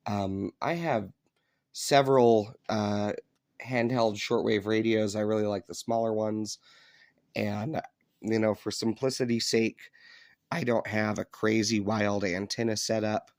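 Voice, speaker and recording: A man giving a casual, unscripted hobby demonstration in a low-key, hesitant, conversational way. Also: fairly even intonation, unhurried, darkish tone, no room sound, studio quality. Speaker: hobbyist video presenter. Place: indoors in an upstairs room.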